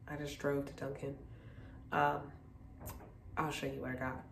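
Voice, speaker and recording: A woman in her late twenties, talking low with a gravelly edge and a congested, stuffy nose. She rambles casually to her phone camera from a hotel room, full of ums and likes. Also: unhurried, a little hiss or hum. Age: late 20s. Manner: relaxed, rambling, lots of fillers. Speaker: vlogger. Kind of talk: casual vlog chat to camera.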